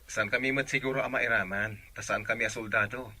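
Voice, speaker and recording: A man's voice: medium pitch, fairly even intonation, brisk, bright, forceful tone. A man with an Ilocano accent playing an earnest young seafaring son in a radio drama. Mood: reassuring.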